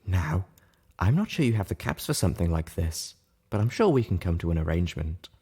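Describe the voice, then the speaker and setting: A man's voice: sits low, very animated, brisk, warm tone, breathy edge, full character voice. A man voicing an elegant female pony with a gracious, charming and self-assured manner in a story reading. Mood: gracious, businesslike. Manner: demure, gracious, charming, assertive. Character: Velvet Remedy, elegant coaxing female pony. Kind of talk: character dialogue in a fan fiction reading.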